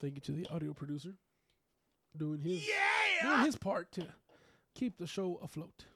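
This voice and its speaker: A man co-hosting a podcast with casual, jokey banter, his voice slightly raspy from being under the weather. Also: high-pitched, huge pitch swings, moderate pace, bright, forceful tone, slight background noise. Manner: playful, jokey. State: recovering from illness, slightly raspy. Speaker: podcast co-host.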